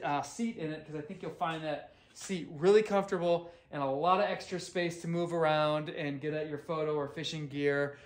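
A man in his forties doing a casual, upbeat kayak review in an indoor garage, talking into a camera held at arm's length. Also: on the high side. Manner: upbeat, conversational, a few restarts. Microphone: handheld camera at arm's length.

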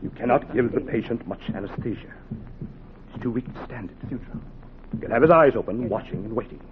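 A man's voice: mid-range voice, natural voice. An actor playing a dedicated, intense heart surgeon teaching his assistant, in dramatic, emphatic 1940s radio style. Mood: intense, instructing. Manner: dramatic, emphatic, deliberate phrasing. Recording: noisy, narrow-band 1940s radio recording.

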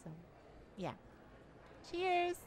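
A woman's voice: very high voice, very animated, extremely slow, heavy background noise, full character voice. A woman voicing an affectionate, modest young male bar singer in an intimate ASMR roleplay, sweet-talking and hesitant. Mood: bashful, excited.

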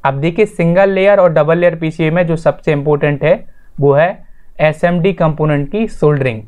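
A man's voice: a little high, normal speed, a little mellow. Man explaining like a tutor. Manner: instructional, addresses viewers as friends, repeats points. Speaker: tutorial host. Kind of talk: explanatory voice-over lesson, informal.

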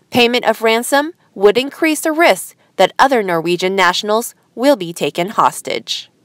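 A woman's voice: fairly high pitch, no echo. Woman reading news headlines, dry close sound. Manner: formal, measured newsreader delivery. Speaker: news headline reader and host. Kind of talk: scripted news narration.

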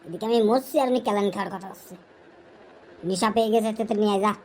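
A woman's voice: mid-range voice, racing, a little mellow, a little hiss or hum, natural voice. A woman voicing a nagging, whiny, foul-mouthed friend craving a smoke in a comic cartoon. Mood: demanding, irritated. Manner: foul-mouthed, demanding, pleading.

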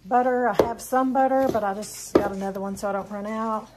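A woman's voice: mid-range voice, fairly even intonation, fairly quick, very noisy. A woman in her fifties casually chatting through a grocery haul for her home vlog, rambling a little with ums and self-corrections. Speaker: vlogger. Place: home kitchen, indoors.

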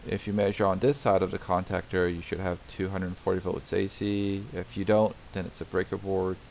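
A man with a lowish, gravelly voice narrates a do-it-yourself repair tutorial over a diagram. He explains patiently, step by step, with frequent fillers and restarted sentences. A faint hiss sits under the voice. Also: flat delivery, normal speed, a little mellow. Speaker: DIY home repair tutor. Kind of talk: unscripted screen-recorded tutorial narration.

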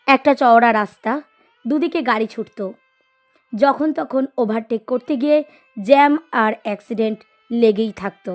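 High-voiced woman narrating a story over music. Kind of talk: expressive scripted literary narration.

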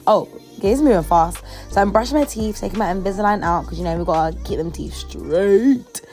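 A woman's voice: medium pitch, brisk, darkish tone, soft background music. A woman in her early twenties with a London accent, chatting casually in a slangy, playful way as she narrates a vlog. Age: early 20s. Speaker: vlogger.

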